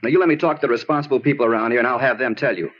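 An actor playing a moody American murder suspect, insistent and naively self-justifying, in a 1950s radio drama style. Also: male voice, medium pitch, rapid-fire, fairly bright tone, natural voice. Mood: irritated, defensive. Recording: muffled noisy old radio recording.

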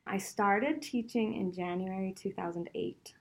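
A woman's voice: medium pitch, unhurried. A middle-aged woman who grew up between Venezuela and the US, a law professor answering interview questions in a chatty, reflective way, with frequent laughs.

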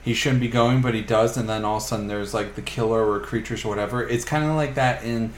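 A man's voice: on the low side, steady tone, quick pace. A man co-hosting a casual horror film podcast, chatting loosely with frequent "like" fillers. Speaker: podcast co-host. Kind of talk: casual conversational film review. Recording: roomy echo, faint background noise.

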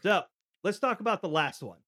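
A middle-aged man hosts a podcast, telling stories casually. His voice sits a little high and he often restarts and repeats words. The sound is very dry. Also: lively intonation, fairly quick, fairly bright tone, dry recording. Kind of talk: casual conversational storytelling.

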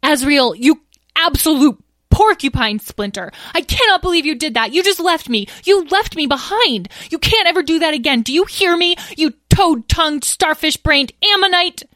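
Bossy, exasperated young girl dragon played by a woman, storybook style. Emphatic scolding, pitched up, dry recording. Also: animated, fairly quick, hardly any pauses, a bit bright, dry recording, close to an everyday voice. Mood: furious, hurt.

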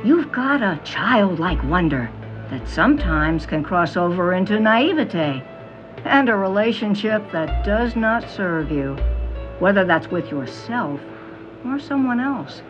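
A slow-talking fortune-teller, theatrical and portentous, her pitch swinging for effect. She pauses dramatically like a mystic in an audio drama, with music playing behind her. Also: very animated. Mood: mystical, portentous. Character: theatrical fortune-teller, businesslike about payment. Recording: dull sound with music behind.

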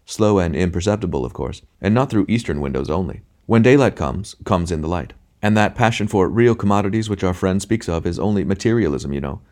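A man voicing a pompous, cultured gentleman who lectures with smug optimism in an audiobook. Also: sits low, lively intonation, fairly quick, hardly any pauses. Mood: smug, lecturing.

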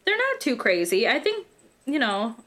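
A woman's voice: on the high side, wildly swooping pitch, moderate pace, fairly bright tone. A woman co-hosting a true crime podcast in casual banter, informal and giggly, swearing freely.

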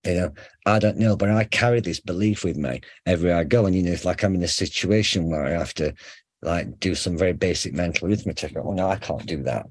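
A middle-aged man with a faint Sheffield accent, a psychotherapist explaining ideas in a relaxed interview, full of examples, fillers and restarts. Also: low-pitched, quick pace, husky, no room sound. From England. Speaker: psychotherapist guest.

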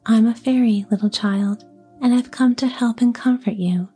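A woman voicing a gentle, kindly little female fairy in a soft whisper. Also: a little high, normal speed, breathy edge, faint music behind. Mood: tender.

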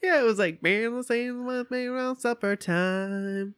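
A woman's voice: fairly high pitch, unhurried, clean tone. Congested, nasal woman podcast co-host. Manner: bubbly, giggly. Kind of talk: casual chat.

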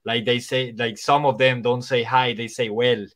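A man's voice: medium pitch, fairly even intonation, moderate pace, a bit bright. A man whose first language is Spanish, speaking English with a Spanish accent and some Irish and American touches, an English teacher chatting casually on a podcast, rambling with restarts. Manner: rambling, many restarts and fillers.

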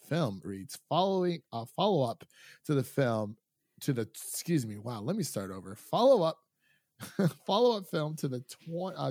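A man's voice: medium pitch, darkish tone, no echo, studio quality. A man hosting a film review podcast, chatting in an informal, enthusiastic, rambling way. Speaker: podcast host. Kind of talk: casual film review chat.

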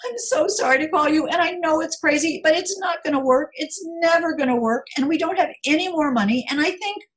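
Lady reading as upset tearful woman on a call, high voice, begging, stumbling over words. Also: close to an everyday voice, rough recording. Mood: pleading, panicked. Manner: pleading, halting, repeating words. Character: distraught, tearful young woman on a phone call.

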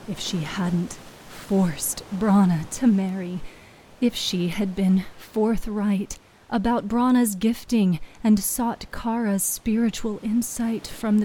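A woman storyteller giving a solemn, dramatic narration of fiction, with hushed phrase endings. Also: medium pitch, a little hiss or hum, rough recording.

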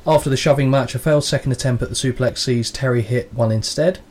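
Male podcast co-host, casual recap style, dry and cheeky delivery, mid-pitched voice. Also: fast talker, a little mellow. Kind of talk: casual match recap and banter.

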